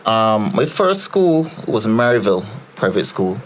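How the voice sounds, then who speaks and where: A man's voice: fairly low pitch, big pitch swings, unhurried, a little hiss or hum, poor audio. A Barbadian man, a podcast guest, telling stories in a rambling casual way, full of fillers and repeated starts. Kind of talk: casual storytelling chat.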